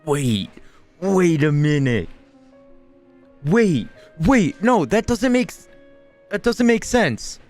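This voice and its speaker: A man's voice: sits high, very animated, takes it slowly, pauses often, a little mellow. A man giving casual, off-the-cuff commentary as he streams a video game playthrough. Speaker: gaming streamer. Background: faint game music.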